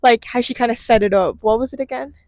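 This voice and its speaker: A young woman co-hosting a podcast, chiming in during a casual gossip chat with quick reactions and comments. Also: on the high side, a little mellow, dry recording, studio quality. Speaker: podcast co-host.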